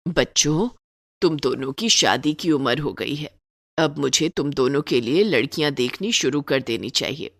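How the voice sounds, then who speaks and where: An elderly mother-in-law from a cartoon moral story, acted by a woman, her voice dropped low and close. She is simple and trusting, and speaks to her family in a fond, plaintive, motherly way. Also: lively intonation, moderate pace, creaky, no echo, full character voice. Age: older.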